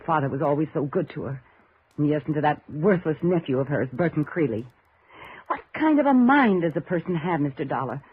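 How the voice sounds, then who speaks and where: A woman's voice: low-pitched, animated, a little fast, a little hiss or hum. An actress playing an anxious, grieving young woman in 1950s radio drama, hesitant and fretful. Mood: distraught. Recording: tinny, narrow vintage radio recording.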